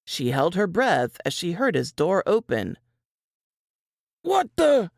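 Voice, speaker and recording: Low-voiced woman narrating fiction expressively. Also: big pitch swings, a little slow, vocal fry, dry recording, studio quality. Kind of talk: dramatic fiction narration, scripted reading.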